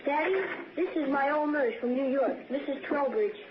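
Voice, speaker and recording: A woman's voice: sits high, normal speed, close to an everyday voice. A woman playing a polite, eager young English boy, in 1960s radio drama style. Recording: noisy, muffled old radio broadcast.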